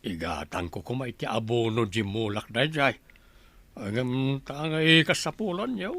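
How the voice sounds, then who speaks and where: A man's voice: medium pitch, lively intonation, unhurried, fairly bright tone, dry recording. A man playing a helpful village farmer in an Ilocano radio drama. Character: helpful village farmer willing to lend money.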